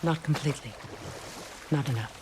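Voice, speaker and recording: An actress playing a proud, resolute warrior goddess in a video game, speaking in a measured, solemn, earnest manner. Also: female voice, low-pitched, background noise, natural voice. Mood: somber, determined. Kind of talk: dramatic video game acting.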